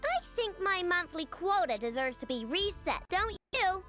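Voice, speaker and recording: Very high, bright girl inventor. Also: big pitch swings, normal speed, soft background music, heavily acted. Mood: cheeky. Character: feisty young inventor girl, bold and cheeky. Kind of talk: video game voice acting.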